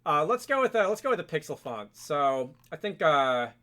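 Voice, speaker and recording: A man narrating a software tutorial casually and informally, thinking aloud as a host with a wry streak. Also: on the high side, big pitch swings, normal speed.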